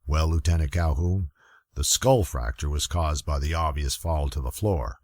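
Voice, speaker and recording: A man's voice: very deep voice, normal speed, darkish tone, no room sound, studio quality. A man playing a proud, earnest new crime lab technician in a radio mystery, formal and self-important. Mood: proud, solemn. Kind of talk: old-time radio detective acting.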